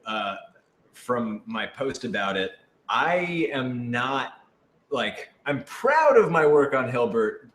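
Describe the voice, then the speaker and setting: A man chats casually as co-host of a live Q&A, his medium-pitched voice echoing in the room. He comes across as eager and self-effacing. His answers wander as he goes. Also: fairly bright tone. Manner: enthusiastic, rambling, self-effacing. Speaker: co-host and voice actor. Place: indoor room, on a couch. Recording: echoey, roomy sound.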